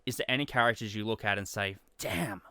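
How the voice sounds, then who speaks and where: A man's voice: on the low side, brisk, pushed and bright, clear, resonant tone, no room sound. A man hosting a casual online interview, talking in a chatty, enthusiastic way. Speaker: interview host and amateur voice actor.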